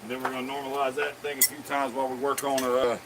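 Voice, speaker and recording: A man's voice: mid-range voice, little pitch movement, fast, background noise. A man hosting a blacksmithing live stream, chatting casually and jokingly with viewers as he demonstrates his work in a workshop. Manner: folksy, joking, talks to viewers by name. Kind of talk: casual chat while demonstrating forge work. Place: workshop forge.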